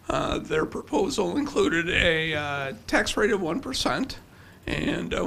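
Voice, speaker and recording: An older man giving a formal presentation as a city official at a podium microphone, with halting, hesitant phrasing. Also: a little high, vocal fry, pushed and bright, slight background noise. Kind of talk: formal budget presentation with slides. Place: indoor public council chamber with seated audience. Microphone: podium gooseneck microphone.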